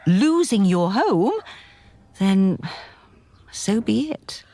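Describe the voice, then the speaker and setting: A woman's voice: medium pitch, very animated, very slow, warm tone, no room sound, full character voice. An actress playing a diva's loyal, patient female companion, narrating and chatting in a radio play. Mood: wry, reflective.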